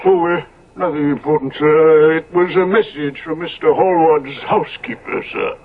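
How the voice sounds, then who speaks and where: An actor playing a devoted, anxious manservant in a 1970s radio drama, deferential in manner and hesitant when troubled. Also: male voice, fairly high pitch, fairly even intonation, moderate pace, natural voice. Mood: hesitant, sorrowful. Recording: muffled narrowband old radio recording.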